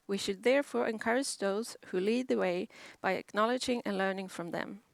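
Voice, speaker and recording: A woman giving a formal, measured welcome speech. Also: medium pitch, gravelly, no echo.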